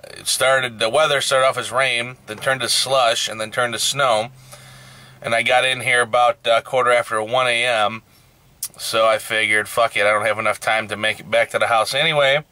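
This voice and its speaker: A man's voice: medium pitch, pushed and bright, no room sound. Young man trucker, blunt and profane, casual vlog talk, truck cab, dash camera. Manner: blunt and profane, raised and heated in a rant. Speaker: truck driver vlogger.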